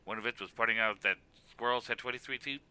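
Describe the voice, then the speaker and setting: A man's voice: mid-range voice, fast, bright, forceful tone, smooth, dry recording. A man playing an exasperated, mocking wrestler in a scripted web cartoon, scolding with emphatic sarcasm. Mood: indignant. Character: exasperated, mocking male wrestler, the self-styled straight man. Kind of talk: scripted comic argument in web animation.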